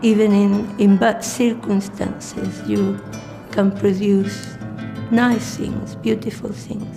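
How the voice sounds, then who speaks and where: Slow, creaky older woman. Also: mid-range voice, level tone. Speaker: documentary interviewee. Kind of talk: personal testimony. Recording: guitar music behind the voice.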